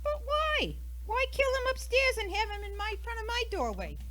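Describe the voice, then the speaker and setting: A feisty, huffy American woman under suspicion , played by an actress, in a radio mystery. Her voice is high and sharp, swinging widely in pitch as she snaps back defensively. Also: huge pitch swings, normal speed, exaggerated voice. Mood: indignant, flustered. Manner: brash, snappy, huffy. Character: feisty, defensive, indignant single American woman, murder suspect. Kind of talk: old-time radio mystery acting.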